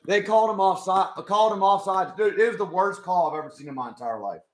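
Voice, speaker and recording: A young man with a hoarse voice, chatting casually with his live chat as he watches a football game. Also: sits high, fast, roomy sound. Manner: chatty, swears, talks to chat. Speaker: live stream host.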